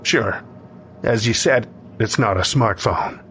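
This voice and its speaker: A man's voice: fairly low pitch, slow, fairly bright tone, a little hiss or hum. A man playing a driven, scheming man in an audio story, explaining himself insistently. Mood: coaxing. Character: scheming, driven man plotting his own resurrection.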